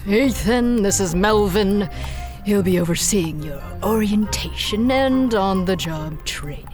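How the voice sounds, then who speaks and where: A woman's voice: medium pitch, expressive, takes it slowly, music in the background. A woman playing a businesslike yet teasing recruiter of henchmen in an audio drama, with breezy corporate polish. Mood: firm.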